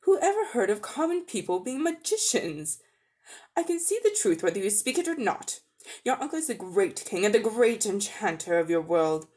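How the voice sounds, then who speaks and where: A woman's voice: mid-range voice, sing-song, moderate pace, darkish tone, natural voice. Woman voicing a haughty, imperious sorceress queen, swooping delivery. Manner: grand, commanding, contemptuous. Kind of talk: dramatic storybook reading.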